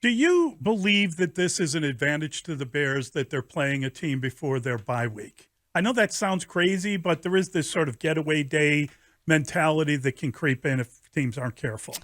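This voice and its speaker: Casting: American male sports co-host, slightly high voice, casual interviewing, dry sound. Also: lively intonation, normal speed, a bit bright, no room sound.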